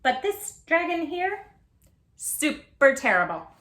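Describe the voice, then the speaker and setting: A woman hosting a children's read-aloud, speaking in an animated, emphatic way straight to small children. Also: high-pitched, takes it slowly. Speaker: children's storytime host and tutor. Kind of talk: children's read-aloud and chat to young viewers.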